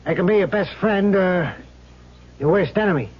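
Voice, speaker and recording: An actor playing a menacing, insinuating neighborhood crime boss in a radio drama, talking with swagger. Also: male voice, sits high, moderate pace, breathy edge. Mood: menacing flattery. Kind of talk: old-time radio drama acting. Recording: muffled old radio recording.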